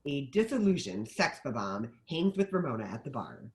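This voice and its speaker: A woman narrates a screenplay's action lines. Her voice is low, and she moves through a wide, lively range of pitch as she reads. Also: moderate pace. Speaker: stage-direction narrator.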